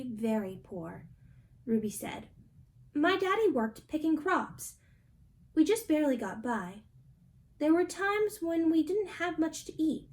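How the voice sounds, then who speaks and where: A woman's voice: sits high, darkish tone. A young adult woman reading a picture book aloud as a storybook reader. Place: small indoor room at home.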